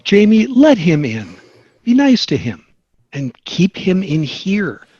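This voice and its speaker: Animated, husky sitcom dad played by a man. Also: sits high, takes it slowly, dry recording, highs cut off, heavily acted. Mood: firm, instructing. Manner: animated, coaxing. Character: suburban sitcom dad, practical, coaxing, friendly.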